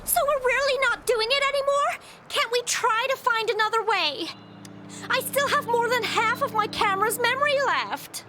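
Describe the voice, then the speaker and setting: A woman voicing an excitable, chatty young photography fan in a video game. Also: very high-pitched, moderate pace, very noisy. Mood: disappointed. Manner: gushing, chatty. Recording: loud music behind the voice. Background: loud background music.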